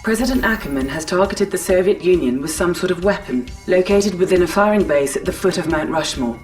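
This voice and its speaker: Composed female battle announcer actress, low voice, clipped and formal, game updates with music behind. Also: lively intonation, brisk, lightly acted. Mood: serious. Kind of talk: scripted video game mission announcements. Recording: slightly distant, music behind.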